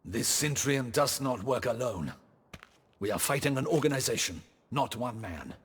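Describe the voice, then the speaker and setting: An actor playing a dutiful, weary captain of the guard in a video game, giving formal, earnest military reports. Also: male voice, mid-range voice, animated, normal speed, husky, in a large hall. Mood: grave, reporting. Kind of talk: dramatic video game acting.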